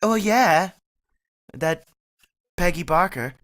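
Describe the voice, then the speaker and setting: A woman's voice: fairly low pitch, expressive, slow pace. A woman playing a timid, tongue-tied young man in a vintage-style radio play. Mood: bashful. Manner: stammering, timid.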